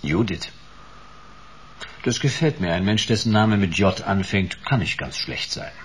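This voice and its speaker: A man's voice: deep voice, lively intonation, a little slow, a bit bright, slight background noise. A middle-aged man playing a brusque, sardonic private detective in German radio play dialogue. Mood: wry. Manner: sardonic, curt, dry. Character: cynical, brusque male private detective in his office.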